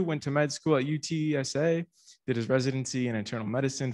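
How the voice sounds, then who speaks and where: Polite young man hosting a meeting. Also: mid-range voice. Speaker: student club officer introducing the speaker. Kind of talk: event hosting.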